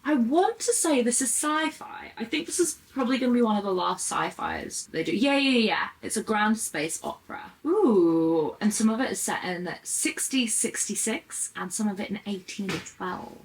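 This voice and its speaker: A woman's voice: on the high side, expressive, normal speed, hardly any pauses, rough recording. A woman chatting casually and enthusiastically as she shows off books, gushing in the manner of a book vlogger. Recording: clear background noise.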